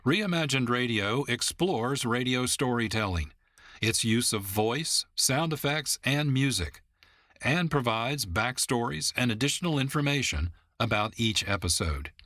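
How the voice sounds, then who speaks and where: A man's voice: medium pitch, lively intonation, a little slow, fairly bright tone, no echo. A man hosting a radio program in scripted narration. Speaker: producer and host.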